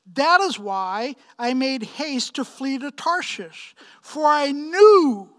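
Man, fifties, from Pakistan, preacher, sermon delivery, slow measured pace, emphatic phrasing, repetition for weight. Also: extremely high pitch, fairly bright tone. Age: 50s. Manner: emphatic, deliberate phrasing with rhetorical questions and repetition. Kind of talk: sermon, expository preaching with personal anecdotes.

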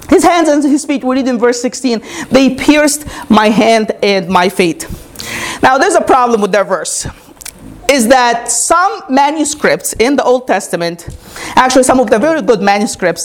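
A woman whose first language is Arabic delivers a teaching sermon. Her voice sits a touch high. She keeps checking in with the congregation as she explains. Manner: calls "Amen?" to the congregation, explains step by step. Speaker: pastor.

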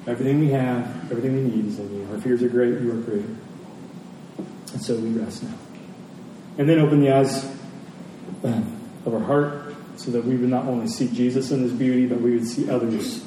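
A man preaching a sermon as a pastor, earnest and emphatic, pausing and repeating phrases for weight. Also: steady tone. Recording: echoey hall, distant mic, faint rumbling noise.

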